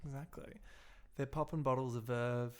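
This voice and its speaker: A man's voice: medium pitch, fairly even intonation, normal speed, clear, resonant tone. An Australian man chatting in a camp, gossipy way on a TV recap podcast, laughing often. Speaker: podcast co-host. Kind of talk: casual gossipy recap chat.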